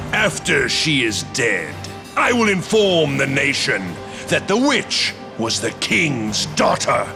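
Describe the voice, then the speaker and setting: Cruel plotting army general for a game, slow smug menace, music behind him. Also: male voice, mid-range voice, creaky, exaggerated voice. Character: scheming, cruel male general plotting against his king. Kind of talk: video game voice acting.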